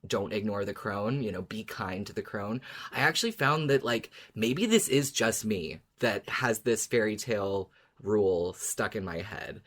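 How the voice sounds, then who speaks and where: A woman co-hosting a podcast, retelling stories casually in a conversational, giggly way. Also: very deep voice. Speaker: podcast co-host.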